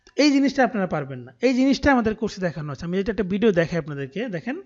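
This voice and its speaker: A man's voice: high voice, very animated, racing, darkish tone. A man with a Bangladeshi accent giving an explanatory screen-recorded tutorial, often checking in with listeners. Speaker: online course tutor. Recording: dull, muffled lo-fi voice recording.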